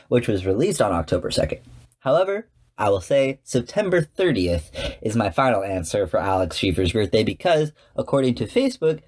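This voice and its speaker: A male podcast host with a middle-pitched voice talks as an enthusiastic fan. He follows prepared commentary and drops in casual, chatty asides. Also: darkish tone.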